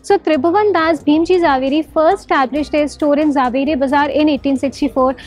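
High-voiced Indian woman reporter. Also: brisk, a little mellow, faint music behind. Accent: Indian English. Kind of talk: travel vlog with voice-over narration. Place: busy street market outdoors.

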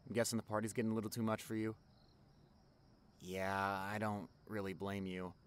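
A man's voice: fairly low pitch, level tone, moderate pace, slight background noise, natural voice. A young man playing a caring, chatty college best friend in an ASMR roleplay, talking casually and directly to the listener. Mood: casual, relaxed.

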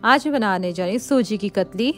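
A woman hosting a cooking channel, calmly explaining a recipe step by step in a voice-over and mixing English words into her talk. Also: medium pitch, a little fast, soft background music. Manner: calm, instructive, mixes English words into Hindi.

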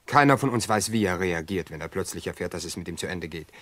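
Indignant, protective brother-in-law, lowish voice. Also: male voice, animated, quick pace, a bit bright, no echo. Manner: assertive, argumentative. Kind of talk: radio drama acting.

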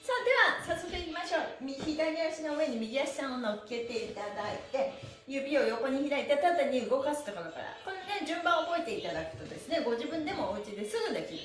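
A woman's voice: high voice, sing-song, brisk. A middle-aged woman hosting a livestreamed stretching lesson, with a cheerful, chatty manner and sing-song counting. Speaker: stretching class host, mime instructor. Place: small bare indoor room. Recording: echoey room with music.

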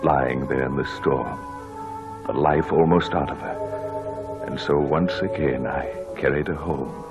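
An actor playing a genial, tender, well-bred gentleman in a 1940s radio drama. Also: a bass voice, steady tone, takes it slowly. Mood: wistful. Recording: dull old radio broadcast, music behind.

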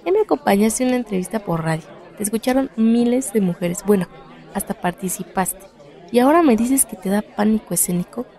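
Woman as a mellow, determined Mexican activist. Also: mid-range voice, lively intonation, fairly quick, some chatter behind, lightly acted. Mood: firm, encouraging. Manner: firm, exhorting, colloquial. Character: encouraging, determined female activist leader, friend and mentor. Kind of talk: radio soap opera acting.

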